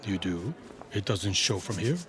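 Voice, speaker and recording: An actor playing a nervous hotel concierge in a radio drama, hesitant, polite and eager to defer. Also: male voice, low-pitched, a little slow, fairly bright tone, a little hiss or hum. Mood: flustered, deferential.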